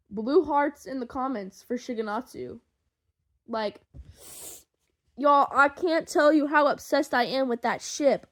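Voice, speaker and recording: A young adult woman with a voice on the high side talks informally to her followers. She rambles along with excitable, gushing enthusiasm. Her wording is loose and full of slang, like a fan creator chatting off script. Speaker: podfic creator and fan fiction writer. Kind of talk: casual rambling chat to listeners.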